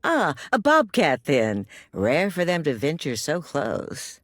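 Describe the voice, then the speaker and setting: An actor playing an unhurried, folksy, mildly amused townsperson in a video game. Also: medium pitch, huge pitch swings, no room sound, clean studio sound, heavily acted. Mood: amused, unbothered.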